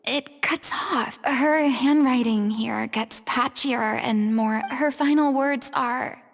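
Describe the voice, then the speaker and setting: Proud theatrical young lady for a fantasy game; high voice, expressive, long reverb around it. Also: slow pace, gravelly, exaggerated voice. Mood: curious, hushed. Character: theatrical young woman, proud, dramatic former stage star. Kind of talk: video game voice acting.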